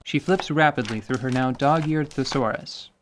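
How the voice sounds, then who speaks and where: A woman's voice: a bass voice, moderate pace, a little hiss or hum. A woman acting as narrator and game master, reading scripted fantasy narration.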